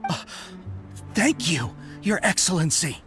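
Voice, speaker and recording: Deferential soldier, swooping pitch. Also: very animated, slow, a bit bright, soft background music. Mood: grateful, obedient. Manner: respectful, formal. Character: contrite, deferential soldier addressing a commander. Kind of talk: video game voice acting.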